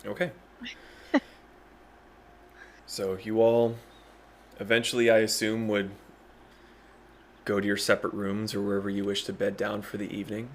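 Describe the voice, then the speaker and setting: A man acting as game master, improvising narration haltingly and thinking as he goes. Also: fairly low pitch, flat delivery, slight background noise.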